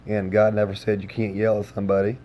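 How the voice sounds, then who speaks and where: Hoarse, easygoing male streamer. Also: fairly low pitch, completely flat delivery, quick pace, dark tone, a little hiss or hum. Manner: easygoing, chats with viewers, many filler words. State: hoarse, lost voice. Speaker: game streamer. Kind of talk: casual livestream chat with viewers.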